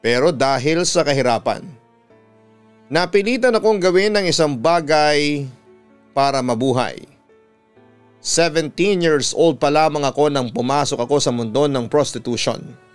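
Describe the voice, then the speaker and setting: A Filipino man narrating a listener's letter in the first person like a radio storyteller, in measured, deliberate phrases. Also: fairly high pitch, smooth. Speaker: radio announcer and storytelling host. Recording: music playing behind the voice. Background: instrumental music.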